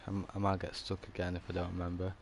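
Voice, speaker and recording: A man's voice: low voice, completely flat delivery, quick pace. A man chatting casually as he streams a video game, in a rambling, laid-back way. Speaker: gaming streamer. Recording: faint background noise.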